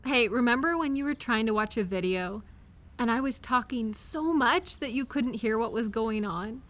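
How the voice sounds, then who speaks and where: A woman playing an affectionate, reassuring friend or partner in an intimate comfort audio roleplay, speaking gently and directly to the listener. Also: a little high, moderate pace, close to an everyday voice. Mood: encouraging, tender.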